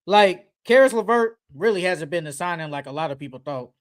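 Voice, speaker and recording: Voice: medium pitch, expressive, brisk. A sports podcast co-host in a casual basketball debate, speaking in an emphatic, opinionated, slangy way.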